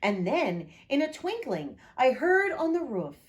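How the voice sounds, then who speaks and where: Slow, expressive woman pastor reading aloud. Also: high-pitched. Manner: expressive, lilting read-aloud delivery; friendly direct address to listeners.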